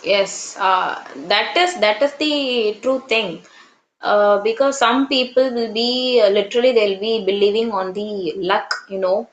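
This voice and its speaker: A woman's voice: mid-range voice, measured pace, lo-fi sound, a little hiss or hum. A woman from Tamil Nadu in her thirties, a native Tamil speaker with a Tamil Indian English accent, chatting earnestly about her life as an English trainer. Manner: earnest, fillers and restarts, reflective. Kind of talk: casual conversation practice. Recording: roomy echo.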